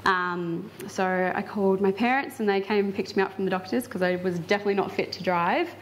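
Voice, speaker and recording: A woman's voice: mid-range voice, fairly quick, a little hiss or hum, low-quality recording. Young woman telling her story, hesitant. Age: 20s. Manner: casual, hesitant, many fillers. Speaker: invited speaker. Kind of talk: personal account to an audience.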